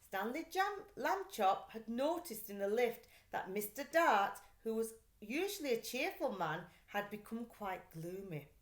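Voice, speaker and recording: A woman reading a children's story aloud like a teacher, with expressive, emphatic delivery. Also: a little high. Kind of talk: scripted storybook narration. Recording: echoey room.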